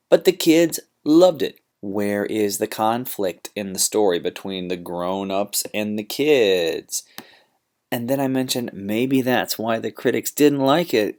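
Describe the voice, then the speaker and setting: A man's voice: mid-range voice, darkish tone. A man teaching a writing lesson in an informal, slangy, theatrical and emphatic manner. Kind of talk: classroom lesson on paraphrasing and essay writing.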